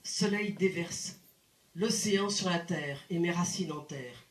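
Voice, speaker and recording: A woman reciting poetry in a deliberate, dramatic manner with expressive phrasing and pauses. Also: lowish voice. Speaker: poet reciting. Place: indoor live poetry evening venue. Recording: roomy live recital sound. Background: plucked guitar music.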